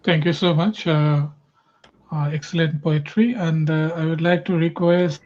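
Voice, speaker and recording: A man's voice: on the high side, level tone, unhurried, darkish tone. A man from Bangladesh with a Bangladeshi accent, a native Bengali speaker, hesitantly moderating an online poetry event with many fillers. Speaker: festival moderator. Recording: dull lo-fi online call audio.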